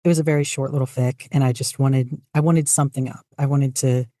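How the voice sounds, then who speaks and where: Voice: medium pitch, fairly even intonation, fairly quick, warm tone, no echo, clean studio sound. A podcast guest chatting casually in an interview, hesitant and self-deprecating. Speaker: podcast guest, fan fiction writer and podficcer.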